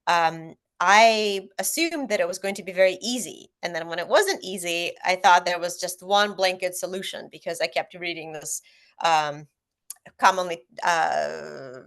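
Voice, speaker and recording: A woman's voice: fairly low pitch, unhurried, fairly bright tone. A woman whose first language is Russian speaks as a guest author on a parenting panel, explaining things earnestly with frequent fillers and restarts. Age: adult parent. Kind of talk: casual panel discussion.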